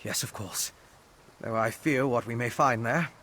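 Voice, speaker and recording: An actor playing an earnest, inquisitive scholar in a fantasy video game, speaking in a measured, articulate, analytical way. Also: male voice, mid-range voice, sharp tone, a little hiss or hum. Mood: concerned, pondering. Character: earnest, inquisitive male scholar investigating dark rituals.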